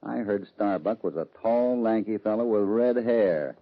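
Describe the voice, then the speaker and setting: Radio western lead: commanding, unhurried cowboy, deep and rough-edged, dulled vintage recording. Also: male voice. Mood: calm suspicion. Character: shrewd, steady cowboy hero, unhurried and suspicious. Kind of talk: 1940s-50s radio western acting. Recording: muffled old-time radio recording.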